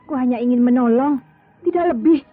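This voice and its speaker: An actress playing a proud, cool and stubborn doctor wife quarrelling in an Indonesian radio drama. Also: female voice, sits high, quick pace, close to an everyday voice. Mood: exasperated, cutting. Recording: tinny old radio drama, music behind.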